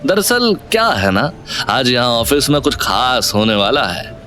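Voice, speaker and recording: Guy acting as easygoing confident village-bred young businessman, audio drama, really lively pitch, bit of music behind. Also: measured pace. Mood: coolly confident.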